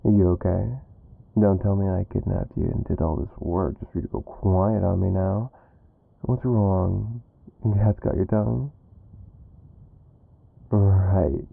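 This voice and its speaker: Low, gravelly mafia boss played by a man. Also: steady tone, slow pace, pauses often, no room sound, narrow, tinny audio, full character voice. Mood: taunting, flirtatious. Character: smug, dominant mafia boss kidnapper, possessive and flirtatious. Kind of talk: ASMR roleplay acting.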